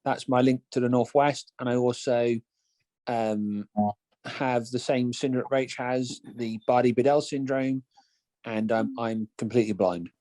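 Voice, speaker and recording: A man from Wales introducing himself on a video call, speaking in a slow, hesitant, plain way. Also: mid-range voice, fairly even intonation, warm tone, no echo, poor audio.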